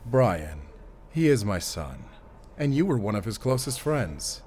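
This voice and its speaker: A man's voice: lowish voice, big pitch swings, a little slow, a little mellow, a little hiss or hum. A man playing a stern, commanding middle-aged father in an audio drama. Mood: calm, fond. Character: wealthy stern father, protective of his son, commanding.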